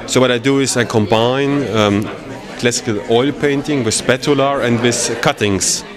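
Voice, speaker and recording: A male painter explaining his technique in a measured way during an interview. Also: mid-range voice. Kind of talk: interview answers. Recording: music and crowd chatter behind.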